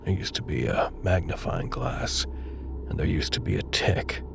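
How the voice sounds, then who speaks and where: A man narrating a dark audio drama in a measured, literary, grave and detached manner. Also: deep voice, creaky, faint music behind, heavily acted. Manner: measured, literary, solemn storytelling.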